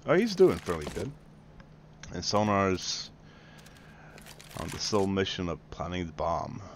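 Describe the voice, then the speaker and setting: Deep, slow gaming host. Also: male voice, sing-song, slight background noise. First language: Swedish. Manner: mocking, taunting, casual swearing. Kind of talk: sarcastic gameplay commentary. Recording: game gunfire under voice.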